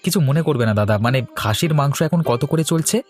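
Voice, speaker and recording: Earnest, humble cartoon working man played by a man, mid-pitched and rapid delivery. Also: lively intonation, natural voice. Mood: humble, complaining. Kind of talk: Bengali cartoon dialogue. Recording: light music behind the voice.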